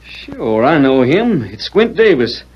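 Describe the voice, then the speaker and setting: An actor playing an honest, plainspoken cowboy in a 1940s radio western. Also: male voice, fairly high pitch, sing-song, slow pace. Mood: hearty. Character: plainspoken, honest wronged cowboy, former sheriff. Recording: dull 1940s radio recording.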